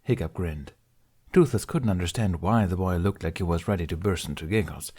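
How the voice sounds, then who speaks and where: Male audiobook narrator, lowish pitch, scripted storytelling read. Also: brisk, a little mellow, slightly rough.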